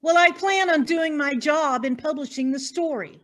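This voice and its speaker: Woman playing very high-pitched, melodramatic lady reporter. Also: a bit bright. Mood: determined. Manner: melodramatic, deliberate. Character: bold, nosy woman newspaper reporter, melodramatic and determined. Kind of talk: stage farce acting.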